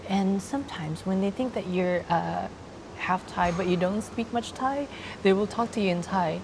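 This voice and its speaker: A young adult woman from the Philippines with a Filipino accent, answering interview questions in a soft, hesitant way with many pauses. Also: lowish voice, noisy background. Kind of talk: casual interview chat.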